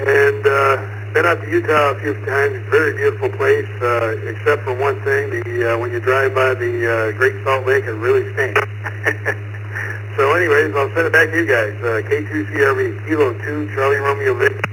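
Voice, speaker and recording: A man's voice: mid-range voice, steady tone, moderate pace, pushed and bright. A man with an American accent chatting casually as an amateur radio operator. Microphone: two-way radio microphone. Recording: faintly noisy humming radio transmission.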